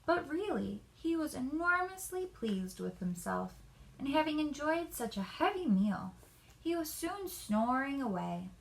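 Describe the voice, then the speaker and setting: A woman reading a children's story aloud in an expressive, deliberate storyteller's manner. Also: sits high, vocal fry, poor audio.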